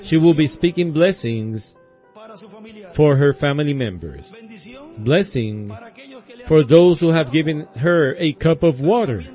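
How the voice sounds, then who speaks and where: A male preacher delivering a sermon in a hoarse voice, speaking in deliberate, halting phrases with many pauses. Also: a little high, lively intonation, dull audio, faint music behind.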